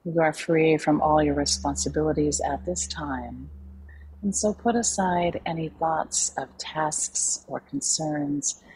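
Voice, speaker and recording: A woman leading a guided meditation in a calm, soothing, unhurried way with long pauses. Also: low-pitched, a little hiss or hum, rough recording. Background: soft background music.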